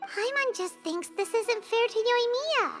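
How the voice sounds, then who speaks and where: A woman voicing a tiny, excitable female companion who talks about herself in the third person, in a high, childlike voice. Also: unhurried, soft background music, exaggerated voice. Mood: dismayed. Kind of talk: game voice acting.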